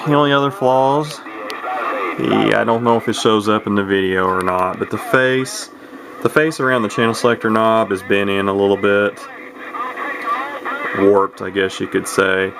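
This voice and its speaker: A man with a low voice and a creaky, fry-laden edge walks through a product casually and without a script. He is matter-of-fact and tends to ramble. The recording is very noisy, with hiss behind him. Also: fairly even intonation, normal speed. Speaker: radio collector giving a product demonstration. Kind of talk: casual unscripted product walkthrough.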